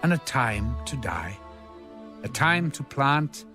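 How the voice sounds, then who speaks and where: A man narrating scripture in a solemn, dramatic reading, measured and formal, with a rising and falling cadence. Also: medium pitch, sing-song, over music. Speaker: audio Bible narrator.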